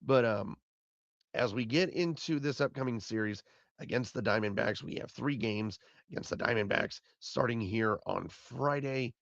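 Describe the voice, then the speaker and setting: A man hosts a solo postgame recap, his voice raspy and hoarse from illness and gravelly at the edges. He rambles and repeats himself in a plain middle register. The recording is dry and a little muffled. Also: mid-range voice, normal speed, fairly bright tone, no room sound. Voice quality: raspy, fading voice. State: hoarse from being sick. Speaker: podcast host.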